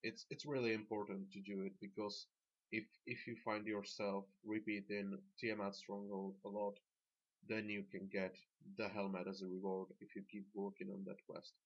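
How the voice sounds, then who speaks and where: A man with a Slovak accent giving halting, plain-spoken, unscripted commentary as he guides viewers through a video game. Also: on the low side, level tone. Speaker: gamer presenting a dungeon tanking guide. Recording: dull lo-fi voice track.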